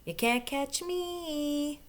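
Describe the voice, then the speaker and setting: A woman voicing cheeky little monkeys in a picture-book read-aloud, teasing in a drawn-out singsong. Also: high-pitched, pitched above the performer's own voice.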